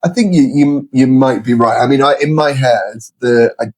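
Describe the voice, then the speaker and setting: A middle-aged man with a British accent explaining his views in a measured, conversational way as an interview guest. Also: medium pitch. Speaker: interview guest, sales leader.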